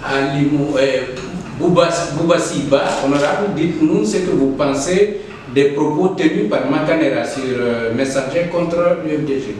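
A man's voice: a little high, moderate pace, darkish tone, heavy reverb. Male interviewer, hesitant reader, distant mic, faint noise behind. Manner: reads out questions, hesitant. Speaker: interviewer reading viewer questions. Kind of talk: interview questions.

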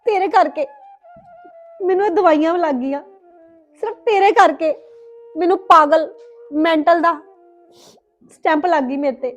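A very high-pitched actress plays a young mother, full of anguish and resentment. She speaks in reproachful, defiant, melodramatic tones, with music playing under her voice. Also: measured pace, long pauses. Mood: bitter, tearful. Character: bitter, anguished young mother rejecting her crying baby daughter. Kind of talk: melodramatic domestic drama acting.

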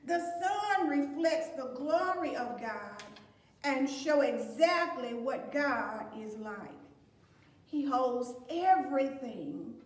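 Older lady teaching sunday school, high voice, slow and earnest, echoey room far from the mic. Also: expressive. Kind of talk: Bible lesson, reading and explaining scripture. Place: plain room against a beige wall. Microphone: fixed camera at a distance.

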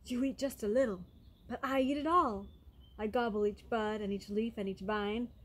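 A woman's voice: fairly high pitch, steady tone, moderate pace, natural voice. A woman voicing a greedy, boastful woodchuck from a storybook, emphatic and gloating.

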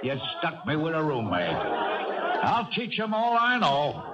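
A good-natured, grumbling veteran ballplayer in a vintage radio play. His fairly high voice jumps around with slangy bluster. The sound is muffled, with a crowd behind. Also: huge pitch swings, measured pace, heavy background noise. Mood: grudging protest. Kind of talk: 1970s radio drama acting.

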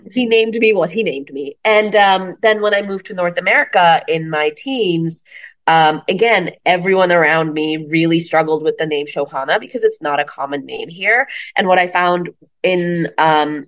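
Low-pitched woman from Bangladesh, chatty storyteller. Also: a bit bright. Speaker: comedian, interview guest. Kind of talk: casual conversational interview.

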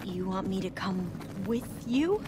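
A woman's voice: medium pitch, expressive, unhurried, noisy background, natural voice. An actress playing an earnest, reverent young woman scholar in a video game, speaking formally and carefully. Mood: uneasy. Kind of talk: video game dramatic acting.

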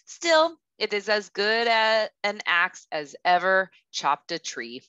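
Woman reading as an earnest woodsman, very expressive. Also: medium pitch, takes it slowly, clean tone, dry recording, lo-fi sound, full character voice. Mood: honest, earnest. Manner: plain-spoken, earnest. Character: honest, humble male woodsman. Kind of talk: children's storybook read-aloud.

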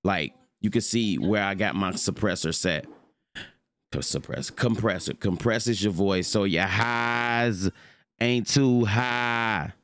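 A young man with a deep voice giving a loose, rambling, slangy tutorial on his streaming setup from his home room. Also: slow, a bit bright. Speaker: streamer showing mic settings. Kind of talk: casual screen-recorded tutorial.

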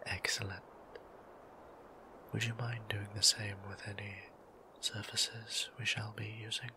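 A man voicing a gentle, formal male vampire priest in an ASMR roleplay, whispering with courtly, old-fashioned politeness. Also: lowish voice, little pitch movement, a little slow, noisy background, lightly acted. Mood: tender.

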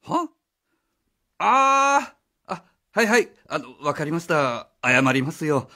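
A man's voice: fairly high pitch, sing-song, takes it slowly, bright, forceful tone, exaggerated voice. A man playing a flustered, evasive, cheeky father who drives a taxi, stammering and blustering in a radio drama. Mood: defensive, sarcastic. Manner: stammering, blustering, casual speech. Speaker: voice actor.

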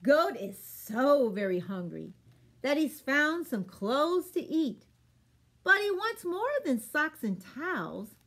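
A middle-aged woman, hosting a storytime for young children in an expressive, clearly phrased read-aloud manner. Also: sits high, takes it slowly. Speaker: children's storytime host at a public library. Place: small plain room, indoors.

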